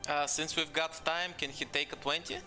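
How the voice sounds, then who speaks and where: Guy at a tabletop game, bright sharp voice, kinda high, asking stuff casually. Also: flat delivery, moderate pace, faint music behind. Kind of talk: casual chat.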